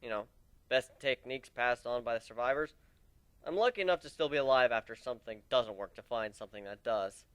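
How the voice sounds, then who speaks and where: A man's voice: mid-range voice, a little fast, a bit bright, smooth, dry recording, close to an everyday voice. A man voicing a cocky griffin ship captain who talks in a casual, boastful way, in a dramatic story reading. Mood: matter-of-fact. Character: cocky young male griffin ship captain, brash fighter.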